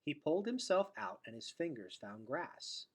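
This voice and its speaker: A man reading a storybook aloud expressively, with dramatic delivery that drops into hushed, breathy tones. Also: on the high side, sing-song, normal speed. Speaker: teacher reading aloud to students.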